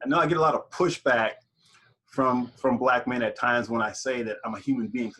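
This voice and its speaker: A man's voice: medium pitch, fairly even intonation, a little fast, a bit bright. A man hosting an interview, thoughtful and probing in his questions. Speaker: host. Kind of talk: interview conversation.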